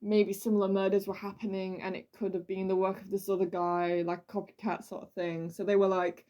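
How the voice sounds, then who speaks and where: A woman's voice: mid-range voice, flat delivery, brisk, warm tone, highs cut off. A woman hosting a true crime podcast, telling the story in an informal, jokey, conversational way.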